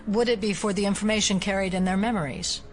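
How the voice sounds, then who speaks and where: An actress playing a skeptical, level-headed FBI agent in an audio drama, speaking in a measured, analytical way. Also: female voice, mid-range voice, fairly even intonation, soft background music, close to an everyday voice. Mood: analytical, serious.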